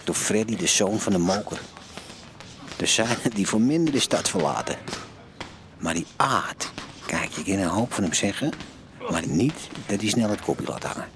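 An actor narrating a radio drama in a colloquial, wry storytelling manner. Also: male voice, lowish voice, animated, normal speed.

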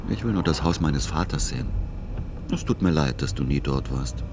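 An actor playing a weary, melancholic middle-aged son in a radio play, speaking in an understated, measured, reflective way. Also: male voice, extremely low pitch, animated, background noise. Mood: regretful. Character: weary, melancholic adult son narrating his journey. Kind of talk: literary first-person narration and radio play dialogue.

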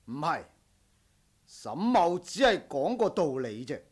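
A man's voice: a little high, big pitch swings, a little slow, slightly rough, exaggerated voice. A man acting a proud, honourable martial-arts household master in a Cantonese radio drama, speaking in formal, stern, old-fashioned heroic language. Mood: grave, pleading.

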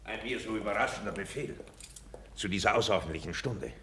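Scheming duke: deep voice, cold, measured, insinuating, formal classical radio drama diction. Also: male voice, a bit bright, slight background noise. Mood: guarded. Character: cold, calculating male duke, scheming courtier. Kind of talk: 1950s radio drama acting, classical stage German.

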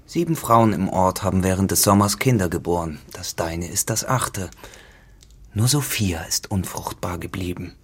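An actor playing a lonely, accusing farmer in a literary radio drama, with a husky voice. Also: male voice, deep voice, normal speed. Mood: accusing, grave. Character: lonely, accusing, menacing male farmer, a spurned rival.

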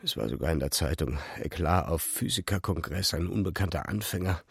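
An actor playing an obsessive, haunted young physicist, narrating his own story in an introspective, halting, intense way. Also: low-pitched, creaky, slightly rough, heavily acted. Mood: subdued, bitter. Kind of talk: first-person narration in a literary audio drama.

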